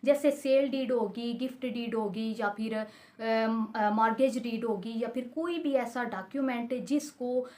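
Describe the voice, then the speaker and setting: A woman lecturer speaks in a fairly high voice with a Pakistani accent. She explains in a didactic style, restating each point and working through examples. English technical terms are mixed into her sentences. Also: unhurried, a little mellow. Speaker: law lecturer.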